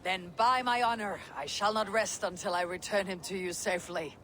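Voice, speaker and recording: An actress playing a steady, proud female Viking chieftain in a video game, measured, wry and courteous. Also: medium pitch, slight background noise, lightly acted. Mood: courteous, firm. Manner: measured, wry, self-assured. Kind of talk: video game cutscene acting.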